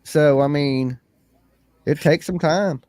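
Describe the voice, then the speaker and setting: A man's voice: fairly high pitch, slow, darkish tone. A man hosting a casual livestream chat, blunt and salty, laid-back. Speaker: livestream host.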